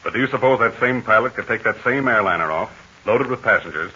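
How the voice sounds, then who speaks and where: An actor playing a calm, fatherly heroic aviator in a 1940s radio serial, speaking in measured, assured tones. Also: on the low side, slightly rough. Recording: dull scratchy 1940 radio transcription.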